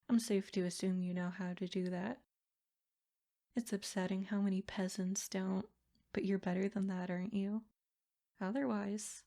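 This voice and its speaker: A woman's voice: medium pitch, mellow, natural voice. A woman voicing a sadistic, possessive princess in an ASMR roleplay, speaking in formal, haughty phrases with silky menace. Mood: smug, threatening. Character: sadistic, possessive yandere princess, smug captor. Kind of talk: ASMR roleplay, scripted character monologue.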